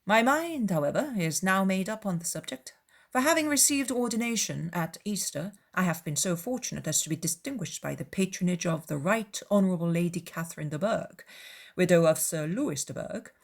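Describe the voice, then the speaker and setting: A woman voicing a pompous, obsequious young clergyman's formal letter, deliberate and self-important, in an audiobook dramatization. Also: fairly low pitch, lively intonation, creaky.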